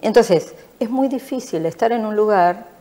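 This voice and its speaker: A woman's voice: medium pitch, expressive, moderate pace, dark tone. A middle-aged woman from Argentina with an Argentine accent, answering as a politician in an interview, emphatic and repeating her points. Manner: emphatic and repetitive. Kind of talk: studio interview.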